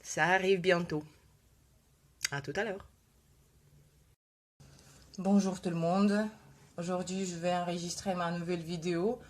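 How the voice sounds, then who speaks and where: A young woman whose first language is Russian talks on camera with a head cold. She speaks slowly, with a somewhat low voice and a lot of hesitation. She breaks off often with filler sounds. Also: steady tone. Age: 20s. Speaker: vlogger. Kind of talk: casual video talk.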